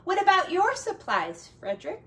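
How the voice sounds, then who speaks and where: A woman's voice: very high voice, wildly swooping pitch, moderate pace, a bit bright, roomy sound, distant mic, pitched above the performer's own voice, heavily acted. A woman voicing busy, reproachful little field mice in a storybook, with a pointed, questioning lilt. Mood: anxious, expectant.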